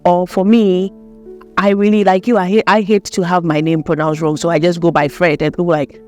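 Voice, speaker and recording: A woman hosting a casual interview chat. She talks in a lively way, laughs often and asks long, rambling questions. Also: on the low side, brisk, soft background music. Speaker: host.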